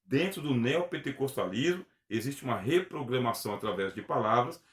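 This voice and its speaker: Man, theology teacher, emphatic lecture, mid-pitched voice, roomy echo. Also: lively intonation, a little fast. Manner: earnest, emphatic, uses rhetorical questions. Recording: echoey room.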